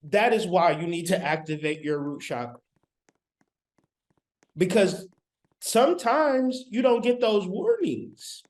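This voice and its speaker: A man's voice: high-pitched, animated, takes it slowly, long pauses, a little mellow. A man giving a live-streamed spiritual lecture. He talks in an emphatic, repetitive, colloquial way, straight to his viewers, on a laptop mic. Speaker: spiritual teacher.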